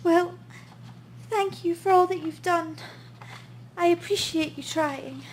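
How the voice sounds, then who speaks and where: A woman's voice: very high-pitched, slow, a little hiss or hum. An actress playing a bereaved, polite and hesitant woman client in a noir radio play. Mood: sad, grateful.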